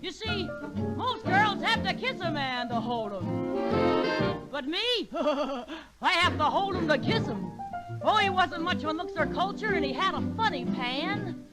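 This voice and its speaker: A sassy woman delivers a vintage swing song, moving between singing and slow spoken patter. Her voice is very high, over a loud band on an old record. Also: lively intonation, loud music behind the voice. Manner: sassy, addressing other women.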